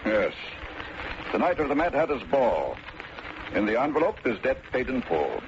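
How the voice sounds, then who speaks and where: A blustering small-town moneylender in a vintage radio drama. He talks in pompous, florid, roundabout phrases at a middling pitch. The recording is dull and plainly noisy. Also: sing-song, moderate pace. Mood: haughty, evasive. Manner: pompous, florid, long-winded wording. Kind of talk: old-time radio drama acting.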